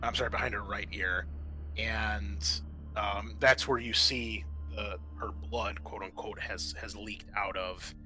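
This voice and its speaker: A man narrating as game master in a tabletop roleplaying game, halting as he thinks aloud through his rulings. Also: on the low side, steady tone, bright, forceful tone.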